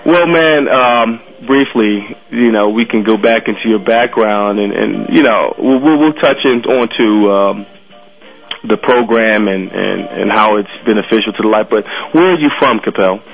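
A man's voice: lowish voice, normal speed, vocal fry, muffled recording, soft background music. A man in his thirties hosting a radio interview, talking in a casual, friendly way and often repeating words. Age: 30s. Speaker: radio show host.

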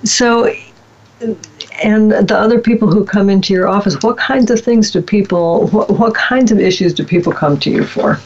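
Man host, supportive, affirming, somewhat high voice, muffled recording. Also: moderate pace. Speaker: host and practitioner. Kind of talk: interview conversation. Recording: dull lo-fi recording.

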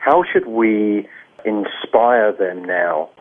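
A man hosts a radio discussion in a fairly low voice. He asks long, winding questions and stumbles now and then. The sound is thin and narrow, like a phone line. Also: extremely slow. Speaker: radio host.

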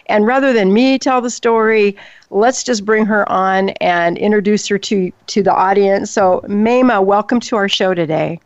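A woman's voice: medium pitch, normal speed, no room sound, highs cut off. Upbeat older woman radio host. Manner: upbeat, chatty, laughs often. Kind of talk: hosting a talk radio show.

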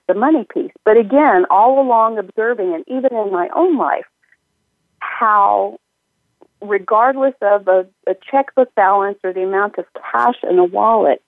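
A woman tells her life story as an interview guest, measured, with small pauses and fillers. She comes through a tinny phone line with no room sound. Her pitch sits at an everyday middle. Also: no room sound. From United States and northern Italy. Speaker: minister and money coach guest.

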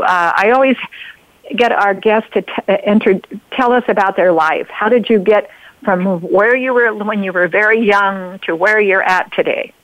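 An older woman hosting a talk radio show, speaking in a halting way with many fillers and restarts. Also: mid-range voice, dry recording. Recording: dull, lo-fi radio sound.

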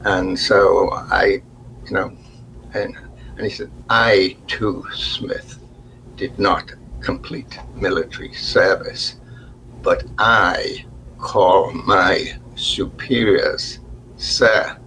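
An older man from England with a faint Liverpool accent, a radio interview guest who talks slowly and haltingly with many restarts. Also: low-pitched, steady tone, gravelly, sharp tone, a little hiss or hum, poor audio. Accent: faint Liverpool, mostly softened. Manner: slow and halting, with restarts and fillers. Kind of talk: reflective interview talk.

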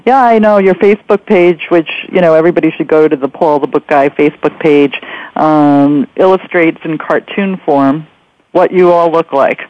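A woman's voice: sits low, moderate pace, no room sound, highs cut off. A woman hosting a casual interview, speaking in an encouraging, affirming, conversational way. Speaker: radio show host and interviewer.

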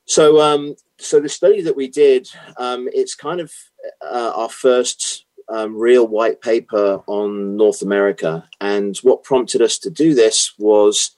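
A middle-aged man with a British accent, answering interview questions with many pauses, fillers and restarts. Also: mid-range voice, fairly even intonation, a little mellow, rough recording. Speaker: guest, automotive consultant.

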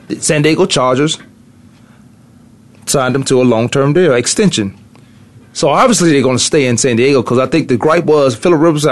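A middle-aged man hosting a morning sports talk radio show from a studio, rambling and thinking aloud as he goes. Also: on the high side. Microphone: studio mic.

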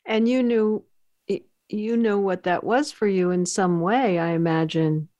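A woman's voice: mid-range voice, a little slow. A woman hosting an interview who asks thoughtful questions and often ends them with tag questions. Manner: warm, probing, tag questions. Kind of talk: conversational interview.

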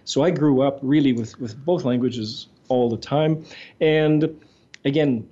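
A man's voice: medium pitch, a little slow, mellow. A man in his sixties from Montreal, a native French speaker, fluently answering interview questions as the guest. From Montreal, Quebec. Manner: fluent, articulate storytelling. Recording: dull lo-fi sound.

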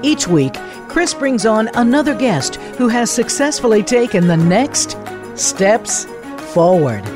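A woman's voice: fairly high pitch, very animated, slow. A woman reading a scripted podcast intro as the show's announcer. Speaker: show announcer. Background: music.

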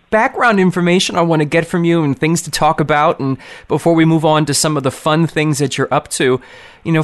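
A man from the United States hosting an internet talk radio show, upbeat and friendly as he interviews a guest. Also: fairly high pitch. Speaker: host.

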